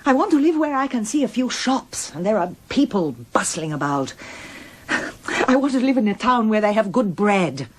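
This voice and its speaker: An actress playing a candid, reflective middle-aged wife in a radio drama, speaking articulately and earnestly. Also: female voice, mid-range voice. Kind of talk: radio drama acting, both dialogue and first-person narration.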